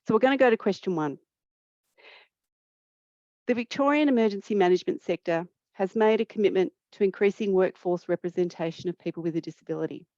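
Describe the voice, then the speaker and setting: A woman facilitating an online panel discussion, speaking in a measured way and reading a prepared welcome. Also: medium pitch, darkish tone, dry recording, lo-fi sound. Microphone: video call.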